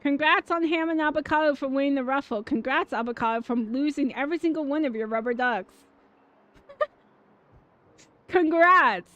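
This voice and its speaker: A woman streaming a game, chatting casually with viewers, excitable and swearing freely. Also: high-pitched, normal speed, long pauses, dry recording. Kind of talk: casual livestream chat over gameplay. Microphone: dynamic mic. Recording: dull mic with faint game music.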